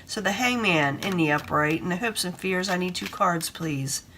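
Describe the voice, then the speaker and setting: A woman reading tarot cards in a casual, rambling way, hoarse from a cold and breathing hard. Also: fairly low pitch, normal speed, noisy background. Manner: rambling, repeats herself, gives advice. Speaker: tarot reader.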